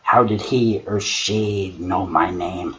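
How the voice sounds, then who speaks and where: Solemn male horror-story servant, put on by a man, low and slow, muffled noisy recording. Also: fairly even intonation. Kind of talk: dramatic horror reading and measured critique. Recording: muffled lo-fi mic with clear noise.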